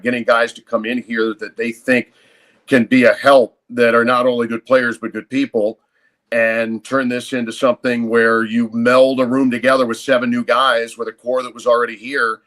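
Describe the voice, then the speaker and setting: A man who works as a radio play-by-play announcer, giving measured, fluent sports analysis as a podcast guest. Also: medium pitch, fairly bright tone.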